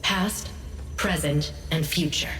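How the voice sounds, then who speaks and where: A woman playing a composed intelligence analyst in a video game, giving briefings in a measured, serious, informative way. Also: sits low, a bit bright. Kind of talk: scripted game dialogue. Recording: echoey hall, distant, faint music behind.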